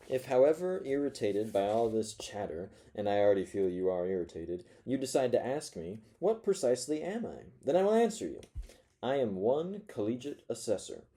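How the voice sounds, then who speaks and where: A man reading aloud as a spiteful, self-mocking forty-year-old who rants from his corner, swinging between low mutters and loud sardonic outbursts. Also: sing-song, normal speed. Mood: sardonic ranting. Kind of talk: first-person audiobook reading.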